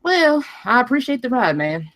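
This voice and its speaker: A woman's voice: a little high, very animated, normal speed, fairly bright tone. A woman playing a brash, foul-mouthed young mechanic in a screenplay table read, casual, sarcastic and blunt. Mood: rueful, casual.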